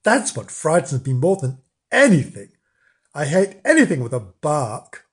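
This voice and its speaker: A woman's voice: low-pitched, big pitch swings, measured pace. A woman voicing a timid, gullible young male kitten in a storybook, plaintive and anxious. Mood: complaining.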